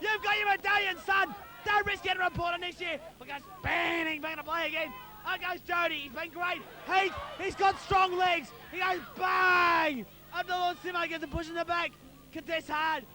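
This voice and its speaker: An Australian man co-commentating live on amateur football, excitedly urging the players on in colloquial talk while losing his voice. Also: very high-pitched, moderate pace. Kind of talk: partisan live sports commentary.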